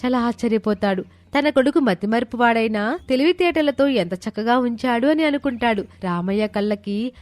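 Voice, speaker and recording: High-pitched woman narrating a story. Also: lively intonation, normal speed, a little mellow, no echo. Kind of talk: scripted moral story narration.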